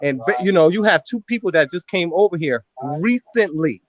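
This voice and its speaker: A man's voice: high-pitched, animated, moderate pace, dry recording. Heated man on a dull dry call. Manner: rambling, repeats "you know what I'm saying". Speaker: guest in a live stream. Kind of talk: heated, defensive argument.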